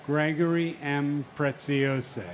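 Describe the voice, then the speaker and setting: Solemn man, unhurried reading, firm and insistent in his remarks at a memorial ceremony. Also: a little high, fairly even intonation, slight background noise. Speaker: victim's family member reading names.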